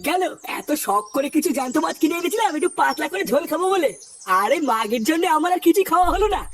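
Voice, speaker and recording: A sarcastic, grumbling father from a village comedy cartoon. The pitched-up voice is extremely high and hurried. It sounds distant on a noisy soundtrack. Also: animated. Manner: complaining, scolding, sarcastic. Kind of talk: exaggerated comic cartoon dialogue.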